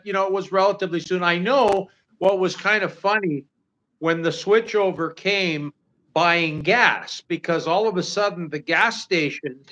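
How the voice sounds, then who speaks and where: A man's voice: on the high side, bright, forceful tone, rough recording. Rambling older Canadian man. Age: 60s. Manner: rambling, many fillers and restarts. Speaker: livestream co-host. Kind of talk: casual chat.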